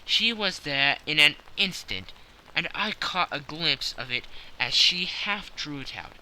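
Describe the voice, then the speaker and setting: A man voicing a confident, analytical gentleman detective who explains things precisely and with self-satisfaction in an audiobook. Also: a little high, animated, unhurried, a little hiss or hum, full character voice, low-quality recording. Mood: self-satisfied, explaining. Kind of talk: audiobook character acting.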